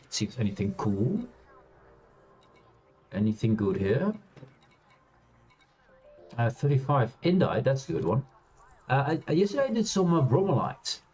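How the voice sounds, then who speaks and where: Male game streamer with a loud, animated voice, rambling casual commentary full of fillers, soft game music behind. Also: mid-range voice, moderate pace, pauses often. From Europe. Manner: chatty, rambling, laughs often, many fillers and restarts. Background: quiet game music.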